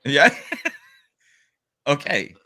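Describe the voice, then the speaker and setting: A man's voice: fairly high pitch, very animated, very slow, sharp tone, no room sound, clean studio sound. A man co-hosting a comic book podcast, reading a scripted sales rundown and joking with his co-hosts. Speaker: podcast co-host.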